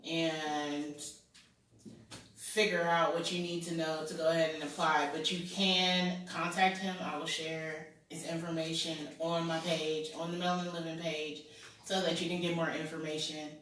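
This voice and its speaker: A woman's voice: low-pitched, flat delivery, normal speed, a bit bright. A woman chatting casually as co-host of a talk show. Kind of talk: casual chat. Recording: very echoey room, distant mic, faint noise.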